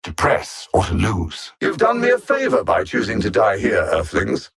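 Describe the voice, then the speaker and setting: An actor playing a condescending, coldly calculating alien supervillain in a video game, speaking with haughty, deliberate precision and theatrical mockery. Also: male voice, fairly low pitch, wildly swooping pitch, creaky, a bit bright, slightly rough, exaggerated voice. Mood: smug menace. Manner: haughty, mocking, deliberate and precise, with grand dramatic swoops.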